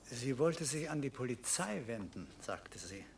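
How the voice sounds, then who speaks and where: A meek, anxious man in a radio play, with a creaky edge to his voice. He speaks hesitantly and deferentially, a little away from the microphone. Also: mid-range voice, animated, a little slow, distant mic, slight background noise. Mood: dry, puzzled. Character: meek, anxious stepbrother of two elderly teacher sisters. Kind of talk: German radio drama acting.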